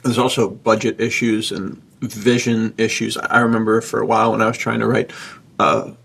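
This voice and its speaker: A man in casual, reflective conversation as a podcast co-host, thinking aloud informally in a gravelly, husky voice. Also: fairly low pitch, level tone, moderate pace, a bit bright, low-quality recording.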